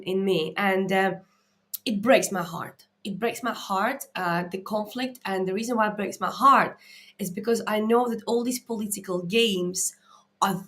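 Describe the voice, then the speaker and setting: A woman with an Eastern European accent speaks at a medium pitch in an unscripted live talk. She is earnest and emphatic, like a coach answering viewers' questions. She punctuates her points with short check-in words. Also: fairly bright tone. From Latvia. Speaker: mindset coach and live Q&A host.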